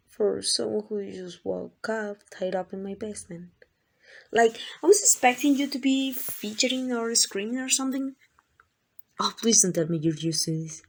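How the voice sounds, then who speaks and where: A woman's voice: mid-range voice, creaky, slightly rough. A woman playing a lovesick, possessive female kidnapper in an intimate ASMR roleplay, doting and rambling in a flustered, coaxing way. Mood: doting, teasing. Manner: coaxing, rambling, hesitant asides.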